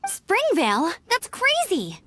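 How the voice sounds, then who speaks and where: A woman voicing a perky, eager young knight scout in a video game, brisk and friendly. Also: extremely high pitch, huge pitch swings, bright, forceful tone, slight background noise, full character voice. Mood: concerned. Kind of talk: video game character acting. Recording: faint game music behind.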